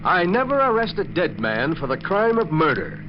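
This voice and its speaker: Noisy old-radio police inspector. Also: male voice, on the high side, sing-song, normal speed, muffled recording. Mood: skeptical, wry. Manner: gruff, wry, questioning. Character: brisk, skeptical, no-nonsense police inspector. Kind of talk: 1940s radio mystery acting.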